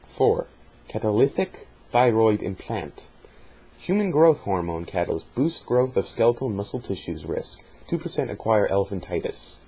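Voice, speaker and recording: A man giving a measured dramatic reading of a journal, sometimes stumbling over technical words. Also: lowish voice, big pitch swings, mellow, husky, rough recording. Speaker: amateur reader of fan fiction journal.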